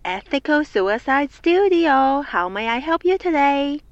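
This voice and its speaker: A woman's voice: high voice, very animated, a little slow, no room sound. A woman playing a chirpy government receptionist in an audio drama, with polite, scripted customer-service patter and muffled sound. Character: chirpy, scripted female receptionist at a state office.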